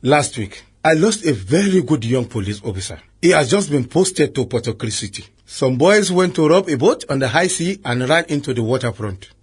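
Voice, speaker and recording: An actor with a Nigerian accent playing a cynical, patronising senior police chief in a radio drama, theatrical and full of proverbs. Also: male voice, a little high, expressive, moderate pace, hardly any pauses, husky. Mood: grave, challenging.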